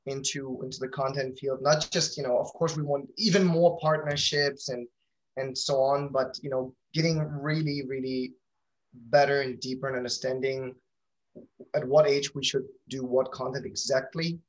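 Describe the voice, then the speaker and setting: A man's voice: medium pitch, little pitch movement, moderate pace, poor audio. A man speaking as an interview guest about his own company in casual talk, often repeating words as he thinks.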